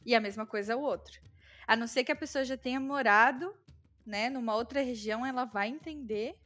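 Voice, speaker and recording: Chatty Brazilian woman, fairly high voice. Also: fairly quick, fairly bright tone, faint music behind. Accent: Brazilian Portuguese. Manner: chatty, explaining with many fillers. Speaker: guest, Brazilian living in Germany. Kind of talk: casual conversation.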